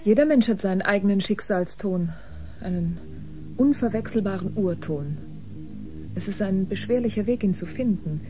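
An actress in a German science fiction radio drama playing a proud, wounded exiled biomusician, speaking dramatically with breathy deliberation. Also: female voice, medium pitch, lively intonation, moderate pace, over music, natural voice, rough recording. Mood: intense, instructive.

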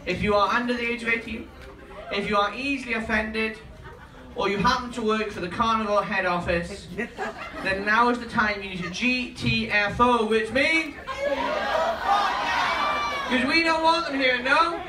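A man hosting a comedy show, working the crowd loudly with call-and-response questions. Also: sits high, moderate pace, long pauses. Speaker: comedy club emcee. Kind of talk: stand-up hosting. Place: ship comedy club, filmed from the audience. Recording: roomy, distant, loud crowd noise.

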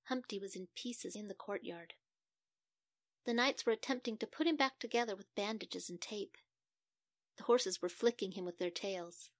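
A woman's voice: mid-range voice, moderate pace, dry recording, studio quality. A woman narrating a scripted children's story as a storyteller.

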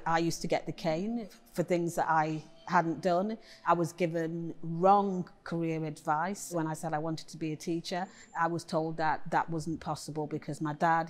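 A middle-aged woman poet from England, speaking candidly and reflectively in a documentary interview in a gallery room. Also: lowish voice.

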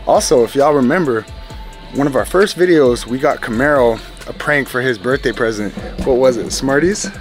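A man in his thirties talks straight to his viewers in a relaxed, upbeat vlog, his voice at an ordinary middle pitch. He is filmed on a handheld camera at arm's length while walking through a big store. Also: normal speed, faint music behind. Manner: upbeat, chatty, addresses viewers directly. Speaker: family vlogger. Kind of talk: casual vlog chat. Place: large store, indoors.

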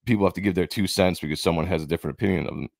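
A man hosting his own channel, giving a rambling, opinionated commentary and repeating himself, his voice going hoarse. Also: low voice, very fast, no room sound, clean studio sound. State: losing his voice, coughing. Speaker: comic book channel host.

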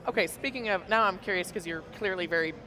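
A woman's voice: medium pitch, fast. Enthusiastic woman co-host at a convention booth. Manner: enthusiastic, effusive, warm praise. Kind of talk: conversational interview. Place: large convention hall booth, indoors.

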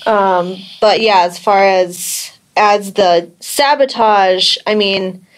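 An American woman investigator talking on the phone in a measured, noncommittal way. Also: medium pitch, slight background noise, low-quality recording. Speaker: police investigator. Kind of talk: phone conversation.

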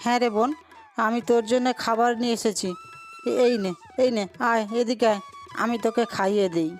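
Woman voicing a humble, high-pitched poor boy. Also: fairly even intonation, fairly quick, faint music behind, lightly acted. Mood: earnest, gentle. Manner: earnest, humble, pleading. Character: poor, honest, pleading young orphan boy. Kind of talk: Bengali cartoon dubbing.

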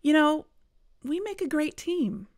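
A woman's voice: high voice, sing-song, a little slow, soft background music, full character voice. A woman playing an eager, determined amateur investigator in an audio drama, with a persuasive, urgent manner. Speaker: investigator and podcast co-host.

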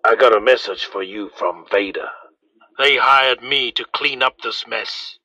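An actor playing a cold, confident bounty hunter in a raspy voice, speaking in terse, threatening lines. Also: male voice, mid-range voice, unhurried, sharp tone, heavily acted. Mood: cold menace. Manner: terse, threatening one-liners. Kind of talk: film and game villain acting. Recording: muffled soundboard clips over phone.

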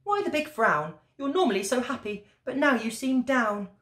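An affectionate storybook mouse wife, given voice by a woman, raised in pitch and very melodic. She explains tenderly in a room with audible echo. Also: expressive, moderate pace, fairly bright tone. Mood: concerned.

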